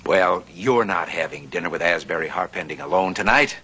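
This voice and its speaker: Casting: scheming American frontier banker. Low, bright voice, persuasive and determined, old TV western. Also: male voice, a little fast, slightly rough, full character voice. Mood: slyly flattering. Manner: earnest, persuasive, slyly flattering. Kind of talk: vintage television western acting.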